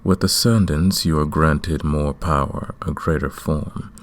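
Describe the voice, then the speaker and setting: A man playing a courtly, commanding, lovestruck vampire king in a romantic ASMR roleplay, speaking in a formal, deliberate way. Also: extremely low pitch, steady tone. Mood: solemn, regal.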